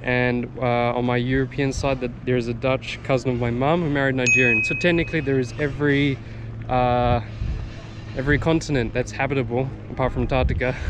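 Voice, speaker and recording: A man's voice: mid-range voice, steady tone, fairly quick, music in the background. A young man with a New Zealand accent casually telling his own story in an informal vlog, addressing his viewers. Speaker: language-learning vlogger.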